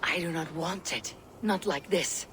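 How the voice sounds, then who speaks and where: A woman's voice: on the low side, unhurried, noisy background, lightly acted. A woman as a resolute, solemn Viking warrior. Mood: defiant, troubled. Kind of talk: dramatic game cutscene acting.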